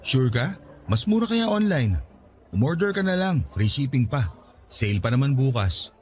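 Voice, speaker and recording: An actor playing an exasperated, indignant husband arguing with a jealous spouse in a radio drama. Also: male voice, medium pitch, sing-song, normal speed, darkish tone, slight background noise, lightly acted. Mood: casual. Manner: indignant, argumentative. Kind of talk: Tagalog radio drama acting.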